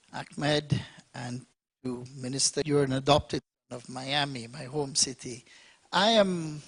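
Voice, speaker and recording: A man's voice: mid-range voice, breathy edge. A man from Guyana speaking on a conference panel, in an expansive, speechmaking way that builds to emphatic points. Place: conference hall.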